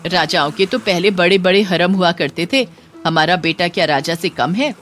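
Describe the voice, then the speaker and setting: A woman's voice: fairly low pitch, normal speed, a bit bright, a little hiss or hum, lightly acted. Woman putting on a haughty scolding mother-in-law. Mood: bitter, haughty. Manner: scolding, sneering, bossy. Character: scornful, haughty older village mother-in-law. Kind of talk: Hindi moral story dramatization.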